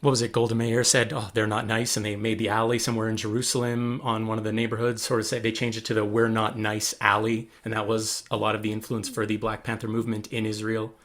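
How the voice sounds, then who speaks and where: A man's voice: lowish voice, completely flat delivery, quick pace, few pauses, fairly bright tone. A man co-hosting a podcast, chatting casually and wordily, repeating phrases as he goes. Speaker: podcast co-host. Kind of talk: casual conversation.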